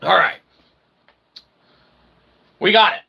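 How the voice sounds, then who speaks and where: A man hosting a philosophy show with a rambling monologue, wordy and mock-formal, in a gravelly voice with pompous archaic phrasing. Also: slow pace, pushed and bright. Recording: clearly noisy recording.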